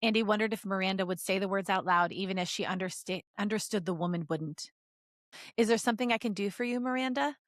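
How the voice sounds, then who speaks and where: A woman's voice: mid-range voice, fast talker, no echo, studio quality. A woman podcast co-host reading fan fiction aloud in a giggly, joking way with casual asides.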